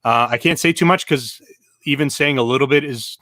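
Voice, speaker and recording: A man's voice: medium pitch, a little fast, no room sound. Laid-back male co-host, dry sound. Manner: relaxed, thoughtful, filler-heavy. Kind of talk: casual panel chat.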